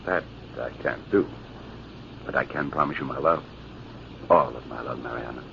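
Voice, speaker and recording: An actor playing a proud, ardent military officer, formal and courtly, in an old-time radio drama. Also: male voice, extremely low pitch, normal speed, creaky. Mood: tender, intense. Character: proud, ardent cavalry-style officer and devoted suitor. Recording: dull, noisy vintage radio recording.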